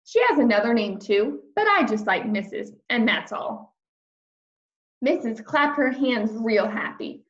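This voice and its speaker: A woman reading a children's chapter book aloud in an expressive storytelling style. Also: fairly high pitch, measured pace, lo-fi sound. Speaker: storybook reader.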